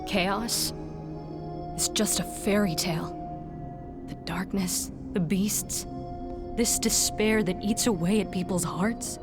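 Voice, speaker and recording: An actress playing a solemn, disillusioned woman warrior in a video game cutscene, grave and measured. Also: medium pitch, music in the background, lightly acted. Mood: sorrowful, resigned.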